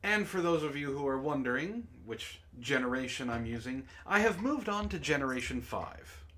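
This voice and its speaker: A man's voice: medium pitch, expressive, normal speed, bright, forceful tone. A man hosting a casual group livestream with informal, sweary banter. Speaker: host.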